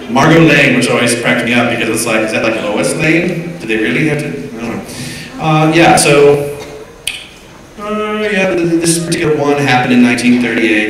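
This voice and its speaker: A man's voice: medium pitch, background noise. A middle-aged American man hosting a panel in a casual, joking, slangy and rambling way. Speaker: panel host. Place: hotel conference room before an audience. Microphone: handheld PA mic, captured by distant camera. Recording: very echoey, distant, busy crowd behind. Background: audience crowd.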